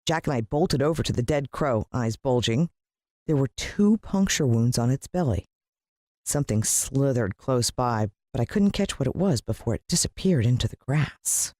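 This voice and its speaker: An American woman narrating a children's story in an expressive, dramatic storytelling manner. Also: rumbling low, moderate pace, no room sound, studio quality. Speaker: audiobook narrator.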